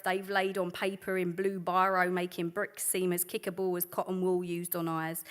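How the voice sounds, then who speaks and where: A woman voicing a weary, tender woman who speaks a poem to her lover, in a slow, measured recitation. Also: medium pitch, a bit bright, close to an everyday voice. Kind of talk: poetry reading.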